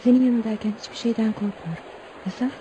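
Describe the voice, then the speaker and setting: An actress playing a devoted, steadfast young girl in love, gentle and earnest, in a radio play. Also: on the high side, steady tone, quick pace, natural voice. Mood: tender. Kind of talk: radio theatre acting. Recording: dull, faintly noisy radio recording.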